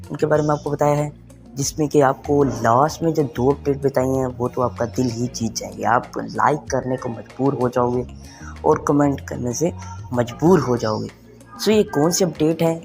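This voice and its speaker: A young man presents a casual tech tutorial. His voice sits at a fairly high pitch for a man, and he slips English words into his speech. Music plays behind him. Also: moderate pace, creaky, darkish tone. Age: young adult. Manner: mixes English words and phrases into talk. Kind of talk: casual spoken tutorial for online viewers. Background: background music.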